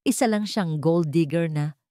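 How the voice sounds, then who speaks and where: A woman's voice: low voice, moderate pace, mellow, dry recording, studio quality, lightly acted. A woman voicing a rich older mother in a drama story, imperious, indignant and threatening. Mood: indignant, scornful. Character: haughty, threatening rich older matriarch, scornful.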